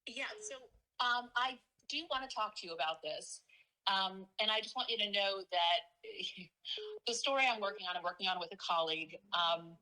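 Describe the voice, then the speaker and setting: A woman's voice: mid-range voice, animated, moderate pace, bright, forceful tone, slight background noise, poor audio. A woman reporter in an unscripted phone conversation, speaking in a hesitant, defensive way. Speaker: newspaper reporter.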